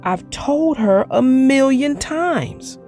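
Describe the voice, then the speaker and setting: A firm young schoolgirl played by a woman in a children's storybook, pitched fairly high. She sounds exasperated and insistent, with lively rises in her plain-spoken lines. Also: slow, soft background music.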